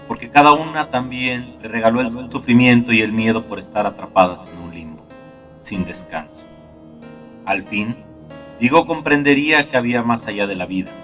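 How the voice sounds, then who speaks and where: A man reading a scripted horror story as narrator, in a dark, gloomy, dramatic manner. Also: mid-range voice, far from the mic. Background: soft guitar music.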